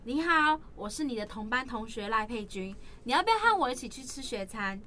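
A woman's voice: a little high, lively intonation, a little fast, bright, forceful tone, soft background music. A woman playing a friendly, hesitant young classmate in a radio drama.

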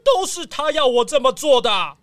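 A woman's voice: high-pitched, very animated, normal speed, dry recording, studio quality. A woman playing a wailing guilty village auntie. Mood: flustered. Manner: wailing, stammering, tearful pleading. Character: remorseful village woman Aunt Heigu, arsonist caught out. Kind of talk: children's radio drama.

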